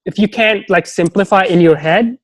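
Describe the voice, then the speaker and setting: A man's voice: sits high, moderate pace, no room sound. Man, non-native English speaker, interview guest, rambling, lots of fillers, dry close sound. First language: not English. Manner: rambling, heavy on "you know" and restarts. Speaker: guest, startup advisor. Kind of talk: casual interview chat.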